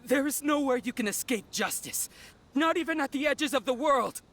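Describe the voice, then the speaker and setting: A man's voice: extremely high pitch, animated, normal speed, a bit bright, a little hiss or hum, full character voice. An actor playing a blustering, threatening male commission soldier in video game dialogue. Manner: threatening, pompous.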